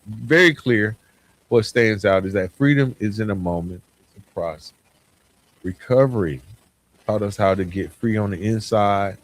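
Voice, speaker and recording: A man's voice: lowish voice, rough recording. A man hosting a podcast solo, speaking earnestly and reflectively in a motivational way, often stressing short parallel phrases. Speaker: podcast host. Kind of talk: reflective solo podcast monologue, partly scripted.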